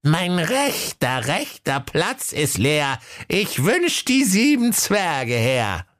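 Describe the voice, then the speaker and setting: A man voicing an evil, bumbling male wizard in a children's story, reciting spells in a slow sing-song. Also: on the high side, sing-song, a bit bright, no echo, clean studio sound, pitched above the performer's own voice, exaggerated voice. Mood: chanting.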